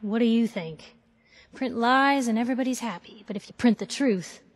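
Woman acting a feisty, higher-pitched young reporter. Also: moderate pace, dry recording, close to an everyday voice. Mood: defiant. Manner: sardonic, brash, challenging. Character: feisty, sardonic young female newspaper reporter. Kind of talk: video game character acting.

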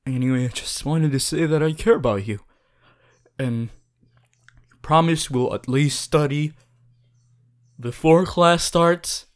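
A man voicing an earnest, fussy but caring student class president in an ASMR roleplay, gently bossy and often stumbling over his words. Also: a little high. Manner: hesitant, repeating himself, gently bossy. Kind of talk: ASMR audio roleplay addressed to the listener.

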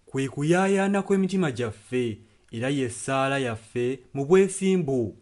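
A man's voice: mid-range voice, expressive, unhurried, a little mellow. A man interpreting a preacher's sermon and prayer phrase by phrase.

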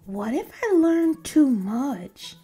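Woman as a very high, anxious childish pigeon. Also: sing-song, slow, warm tone, soft background music, full character voice. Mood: panicked, fretful. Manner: exclamatory, fretful, theatrical. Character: anxious dramatic cartoon pigeon, childish and whiny. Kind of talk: children's storybook read-aloud.

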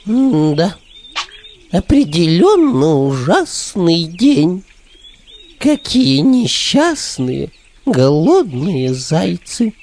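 An actor voicing a gloomy, sighing cartoon mole who muses deliberately. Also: medium pitch, very animated, a little mellow, a little hiss or hum, full character voice.

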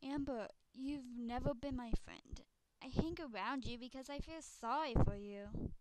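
A woman's voice: high-pitched, a little slow, very noisy. A woman playing teenage girls in an amateur school drama audition, with dramatic, accusing delivery on a glitchy home microphone. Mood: scornful.

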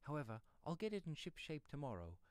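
A man's voice: fairly high pitch, sing-song, fast, a little mellow, no room sound, pitched above the performer's own voice. A man voicing a shabby, furtive little pedlar in a storybook reading, humble and hesitant, with rustic pleading speech. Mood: obsequious. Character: shabby, furtive, undersized pedlar, submissive and wheedling. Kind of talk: audiobook character voice.

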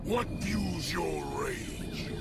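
An actor playing a wrathful, menacing monster in a video game, with gravelly, archaic speech. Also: male voice, high-pitched, animated, extremely slow, heavily acted. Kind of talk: video game character dialogue. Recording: loud music under dialogue.

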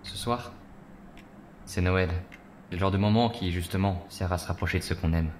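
An actor playing a gentle, reassuring husband and father with a soothing, considerate manner in an audio drama. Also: deep voice, normal speed, slight background noise, lightly acted. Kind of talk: French audio drama acting.

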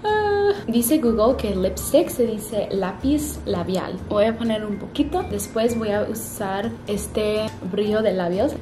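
Young adult woman, relaxed self-correcting vlog chatter, close camera in an echoey hotel room. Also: mid-range voice. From Canada. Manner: chatty, pauses to search for words and corrects herself. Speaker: vlogger. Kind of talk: casual get-ready-with-me chat to camera. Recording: roomy room with music underneath.

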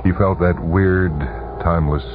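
A man reading a short story for radio in a measured, deliberate, suspenseful way. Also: low-pitched, steady tone. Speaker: narrator. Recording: tinny, band-limited vintage radio broadcast. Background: music under the voice.

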